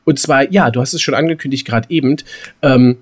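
Guy podcast host just chatting, slangy, medium pitch, bit of swearing. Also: fairly quick, breathy edge. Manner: colloquial slang with mild swearing. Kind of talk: casual chat, film talk.

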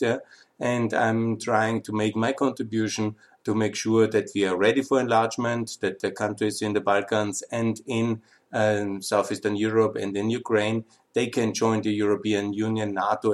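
Austrian man in his fifties, low voice, earnest solo podcast host, non-native English full of fillers. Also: fairly even intonation, normal speed. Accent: Austrian German. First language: German. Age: 50s. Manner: earnest, many uhs and ums, non-native phrasing.

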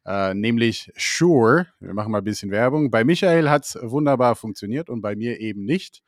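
A man's voice: medium pitch, expressive, normal speed, dry recording, clean studio sound. A man co-hosting an investing podcast, talking casually with many fillers and reading a passage aloud. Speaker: co-host. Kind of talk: podcast chat.

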